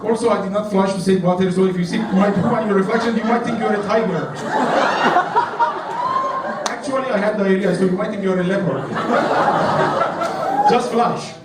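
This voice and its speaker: A man from Iran with an Iranian accent doing stand-up at a comedy club, deadpan with acted quotes. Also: high-pitched, normal speed, long pauses, recorded from a distance, very noisy. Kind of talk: stand-up routine. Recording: echoey club, mic from afar. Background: audience laughter.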